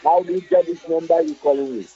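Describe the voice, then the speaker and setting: A man's voice: fairly high pitch, steady tone, fast talker, warm tone. A man on a phone call asking questions in an insistent, sceptical manner. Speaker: call recipient. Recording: muffled phone call with music behind.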